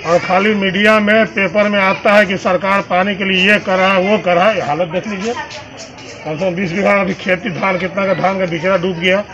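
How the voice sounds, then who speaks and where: A man's voice: sits high, steady tone, normal speed, a crowd in the background. A man complaining in a field interview, a local farmer on a dull recording.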